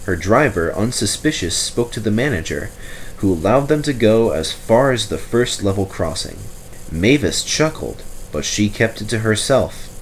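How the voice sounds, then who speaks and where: A man reading a children's story aloud in expressive, scripted narration. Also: lowish voice, moderate pace, a little hiss or hum, poor audio. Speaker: storybook reader.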